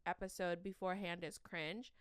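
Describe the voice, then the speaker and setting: A young woman hosting a casual solo podcast from the corner of her bedroom, with a shrill voice, rambling and self-mocking between fillers, sleepy. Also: fairly even intonation, moderate pace, no room sound, studio quality. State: sleep-deprived. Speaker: podcast host.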